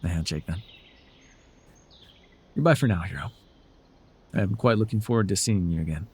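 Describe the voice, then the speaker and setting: A man's voice: low voice, moderate pace, a little mellow, breathy edge. A man playing a smug, teasing telepath supervillain who mentors a hero, in a wry, theatrical yet conversational ASMR roleplay. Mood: smug, coaxing.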